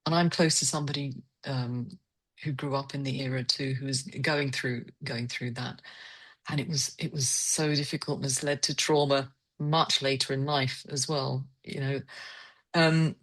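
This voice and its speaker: A woman's voice: extremely low pitch, fairly even intonation, moderate pace, a bit bright. A woman hosting a literary conversation, asking reflective questions in a tentative, searching way. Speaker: interviewer hosting a poetry salon.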